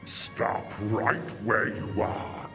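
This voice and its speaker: An actor playing a sinister horned alien war lord in a sci-fi audio drama, taunting and haughty in drawn-out, mocking speech. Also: male voice, bright, forceful tone, faint music behind. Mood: menacing, taunting. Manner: menacing, mocking, drawn-out delivery. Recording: long cavernous hall reverb.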